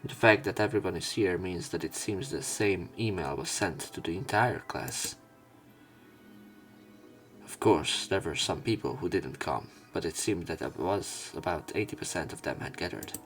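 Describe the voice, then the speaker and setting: A man with a deep, creaky voice reads visual novel narration aloud. His delivery is measured and serious, as a let's player working through the text. Soft music plays faintly behind him.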